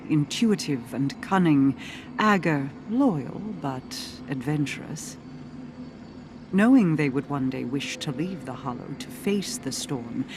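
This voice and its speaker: An actress playing a solemn, commanding storyteller with a secret agenda, telling a fable in a measured, deliberate manner for a video game. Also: female voice, sits low, background noise. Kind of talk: slow scripted fable narration.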